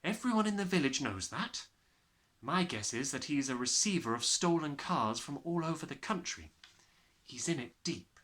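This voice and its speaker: A kindly storybook schoolmistress, played by a man, speaks in a soft and slightly raised voice. She is calm, measured and tender, with a reassuring manner. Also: on the high side, voice pushed up. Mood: matter-of-fact. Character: gentle, kindly young woman teacher, calm and reassuring.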